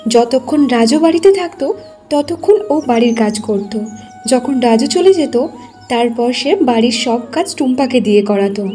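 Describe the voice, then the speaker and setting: High, mellow woman cartoon storyteller. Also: animated, normal speed, soft background music. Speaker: story narrator. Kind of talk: scripted cartoon storytelling narration.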